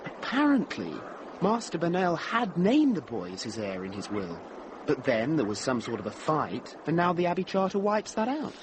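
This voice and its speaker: A fairly high, very animated young monk in a radio play. He is ingenuous and gossipy, always curious. Background noise is clearly heard. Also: moderate pace. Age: young adult. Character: chatty, curious young male novice monk, eager gossip. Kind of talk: radio drama acting.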